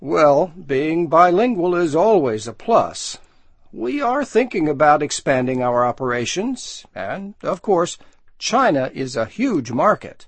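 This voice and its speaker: A man's voice: a little high, a little slow, breathy edge, dry recording, heavily acted. A man playing a friendly magazine manager who interviews a job candidate in a scripted learner dialogue. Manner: relaxed and friendly, asks questions. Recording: dry studio sound.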